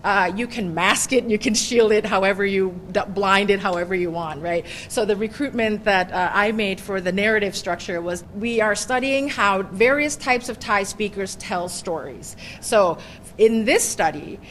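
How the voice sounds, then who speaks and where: A woman's voice: medium pitch, moderate pace, a bit bright, slight background noise. A middle-aged woman professor giving a conversational workshop presentation, often checking in with "right?". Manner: chatty, often ends points with "right?". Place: workshop room.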